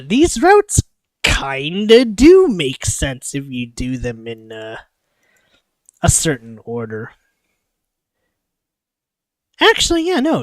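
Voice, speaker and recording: An expressive streamer reading a visual novel aloud and breaking off into chatty, rambling asides. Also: medium pitch, dry recording. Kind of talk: live playthrough, narration with character voices and asides.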